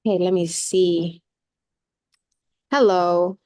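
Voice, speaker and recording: A woman's voice: on the low side, fairly even intonation, takes it slowly, mellow. Young woman with a cold on a video call, chatty keynote, lots of ums and restarts. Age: young adult. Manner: chatty, informal, many fillers and restarts. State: has a cold. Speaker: keynote speaker. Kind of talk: casual speech on a video call.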